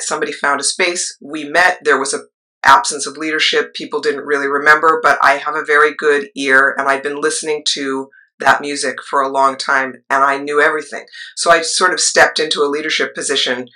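A middle-aged woman with a low voice, a choir leader and actress, telling her story in a rambling interview style with asides. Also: sharp tone, low-quality recording. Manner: rambling, with asides and trailing thoughts.

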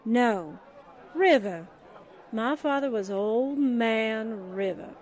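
A sardonic gal reporter played by a woman in a 1940s-style radio play. Her fairly high voice drawls out dry, deadpan wisecracks at a slow pace, with faint party chatter behind. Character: wisecracking, sardonic young newspaperwoman.

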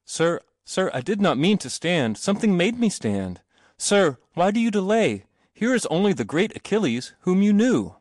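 Man acting a panicky, whining, high-strained gentleman. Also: very animated, normal speed, no room sound, clean studio sound. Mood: bewildered, pleading. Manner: gasping, whining, pleading. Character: frightened, whimpering, pompous grown gentleman.

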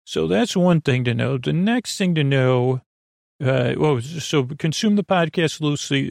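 A man with a creaky voice hosting a sleep podcast, meandering gently, mumbling and stumbling over his words as he trails off. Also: fairly high pitch, no echo, clean studio sound. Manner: gentle, lulling. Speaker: podcast host. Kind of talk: rambling bedtime sleep podcast monologue.

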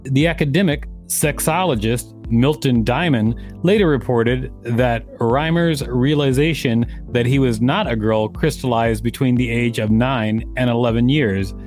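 Man, average-pitched voice, podcast co-host, read-aloud article with commentary, light music behind. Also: a little mellow.

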